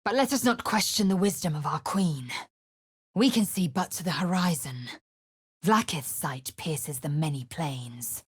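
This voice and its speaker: A proud, zealous young warrior woman from a fantasy video game. Her voice is low and very husky, and she speaks in slow, clipped, formal phrases with imperious disdain. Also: animated, no echo, studio quality, heavily acted. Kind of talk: video game character voice acting.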